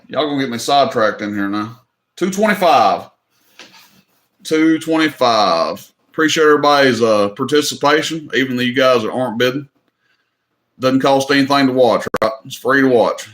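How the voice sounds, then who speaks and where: A man's voice: medium pitch, moderate pace, a bit bright, reverberant. Folksy Tennessee man hosting a livestream. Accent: Southern US. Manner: folksy, joking, drawling, says y'all. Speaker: livestream host running an auction. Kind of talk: casual livestream chat.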